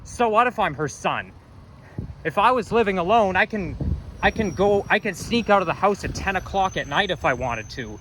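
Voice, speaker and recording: A man's voice: a little high, bright, forceful tone, poor audio. A young man venting and swearing in frustration while filming a walking vlog outdoors on a city street. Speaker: vlogger. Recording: street traffic noise.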